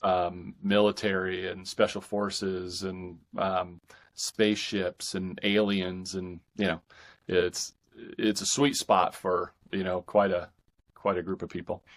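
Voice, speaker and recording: A man speaking as an author guest in a casual interview, haltingly, thinking aloud and repeating phrases as he goes. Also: low-pitched, level tone. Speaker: podcast guest, fiction author.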